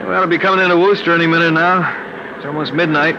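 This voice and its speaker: An actor playing an excitable male truck guard in a 1950s radio drama, often exclaiming. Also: fairly high pitch, rapid-fire, lightly acted. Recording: noisy lo-fi old radio broadcast.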